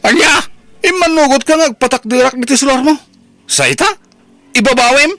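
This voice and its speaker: Man acting an excitable, high-voiced older uncle. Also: fairly quick, no room sound, heavily acted. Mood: indignant scolding. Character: excitable, indignant older uncle in a family quarrel. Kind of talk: Ilocano radio drama acting.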